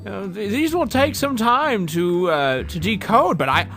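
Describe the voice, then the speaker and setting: Casting: bookish, hesitant older male scholar, played by a man, lively swooping high pitch, earnest stammer, comic adventure drama. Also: unhurried, soft background music, exaggerated voice. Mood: hesitant, intrigued. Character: fussy, hesitant older male academic, keen hieroglyph scholar.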